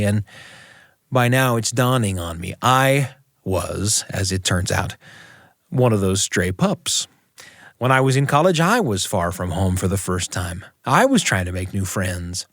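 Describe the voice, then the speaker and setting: A man hosting a family radio show, telling personal stories in a reflective way with thoughtful pauses. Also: mid-range voice, dry recording, studio quality. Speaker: radio show host and storyteller.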